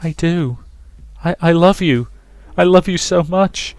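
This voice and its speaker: Man putting on a desperate, pleading young woman, raised pitch. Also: a little slow, dry recording. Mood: adoring, desperate. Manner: pleading, stammering, breathless repetition. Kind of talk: dramatic visual novel reading.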